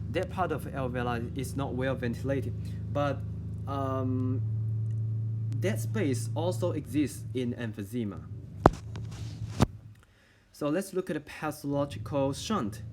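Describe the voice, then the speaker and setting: A young man with a Chinese accent walks through a medical lesson at a measured, halting pace, posing questions and then answering them himself. His voice sits at an ordinary middle pitch. A faint hiss of noise runs behind him. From China. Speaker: medical student. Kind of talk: lecture-style explainer video.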